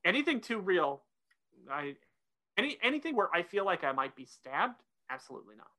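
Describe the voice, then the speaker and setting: A woman's voice: fairly low pitch, big pitch swings, a little fast, sharp tone. A woman co-hosting a podcast in casual discussion, hesitant and often repeating words as the thought forms. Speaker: podcast co-host.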